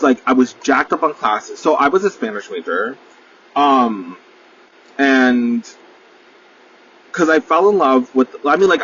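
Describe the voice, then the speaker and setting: A man's voice: mid-range voice, fairly bright tone. New guy in his 20s rambling on his podcast, lots of ums and swearing, kinda muffled mic w a little hiss. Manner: rambling, full of fillers, swears freely, self-deprecating. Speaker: podcast host. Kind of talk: casual solo monologue.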